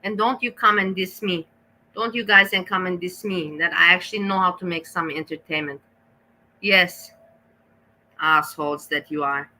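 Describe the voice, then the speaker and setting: A woman's voice: fairly low pitch, normal speed, bright, forceful tone, rough recording. A woman with an Austrian accent giving a profane, scolding rant while reacting to clips. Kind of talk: ranting reaction commentary.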